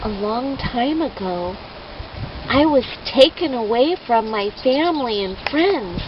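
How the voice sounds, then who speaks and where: A woman voicing a gentle, contented little flower in a children's story, earnest and simple. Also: fairly high pitch, animated, slow. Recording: muffled, noisy amateur recording.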